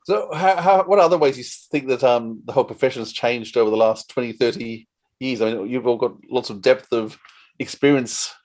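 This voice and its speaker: Male podcast interviewer, medium pitch, conversational questioning. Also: normal speed, darkish tone.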